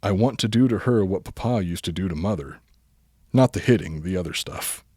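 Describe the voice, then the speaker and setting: A man reading a comic bedtime story aloud as a podcast host, mostly deadpan but prone to giggling. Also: low voice, fairly quick, breathy edge, dry recording, clean studio sound.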